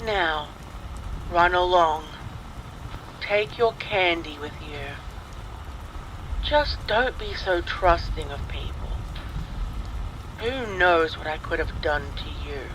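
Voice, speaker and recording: A man's voice: on the high side, slow, pauses often, background noise. A man reading a scripted first-person ghost story, with rain and thunder sounds behind him. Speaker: horror story narrator. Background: rain and thunder ambience.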